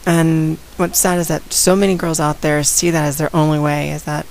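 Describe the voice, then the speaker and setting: A woman with a slight head cold and a raspy voice, talking casually and outspokenly straight to her viewers in a vlog. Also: deep voice, level tone, normal speed, a little mellow, rough recording. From San Antonio, Texas. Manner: outspoken, direct, addresses viewers. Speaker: vlogger. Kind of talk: casual talk to camera.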